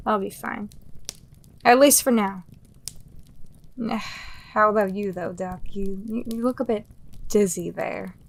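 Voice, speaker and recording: Tired streetwise female survivor, gravelly fry, mid pitch, dry and reassuring, fantasy audio drama. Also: slow, pauses often. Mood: weary, teasing.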